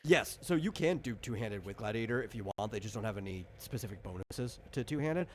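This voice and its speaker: A man's voice: fairly low pitch, expressive, fairly quick. A man chatting casually as a game master, explaining things in an informal, rambling way. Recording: clear background noise.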